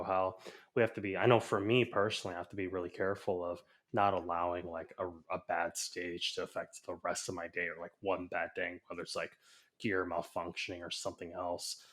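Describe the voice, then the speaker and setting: A young man from Hawaii co-hosting a podcast, easygoing and quick to laugh. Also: low-pitched, a bit bright. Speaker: podcast co-host. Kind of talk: casual chat.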